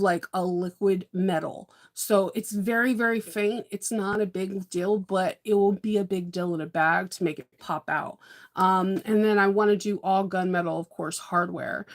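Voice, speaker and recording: A woman from California chatting casually while she plans her sewing projects, rambling with lots of fillers and frequent laughs. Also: mid-range voice. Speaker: sewing vlogger. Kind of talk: casual live-stream chat.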